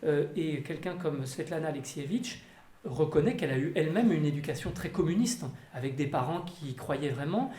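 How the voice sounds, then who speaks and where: A panelist giving a measured, lecture-like answer at a round table, with a few fillers. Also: mid-range voice, lively intonation, mellow. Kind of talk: round-table discussion, explanatory. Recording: echoey room, faint ambience.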